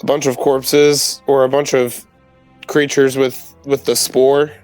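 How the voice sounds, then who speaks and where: A man playing a tabletop game, answering casually and haltingly, rephrasing as he goes. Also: mid-range voice, little pitch movement, darkish tone, faint music behind. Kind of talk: casual in-character game chat.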